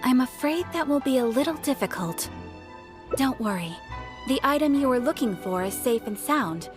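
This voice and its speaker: A composed guild receptionist in a video game, speaks in a fairly high female voice. She is formal and courteous, laying things out carefully over clearly audible music. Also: expressive, moderate pace.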